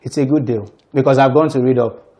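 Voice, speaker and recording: Football analyst guy, mid pitch, explains stuff firmly. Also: steady tone, fairly quick, dark tone. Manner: assertive, explanatory. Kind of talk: sports talk show analysis.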